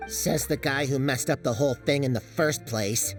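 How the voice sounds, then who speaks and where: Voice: medium pitch, normal speed, distant mic, lightly acted. An actor playing a sardonic small forest creature, mock-polite and teasing, in a game cutscene. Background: music.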